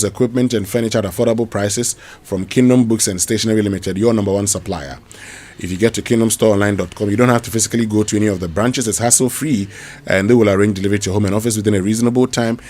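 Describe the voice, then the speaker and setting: A man with a Ghanaian English accent hosting a live morning radio show, reading sponsor ads briskly and then listener questions with fillers. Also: on the low side, darkish tone. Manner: brisk promotional delivery with fillers.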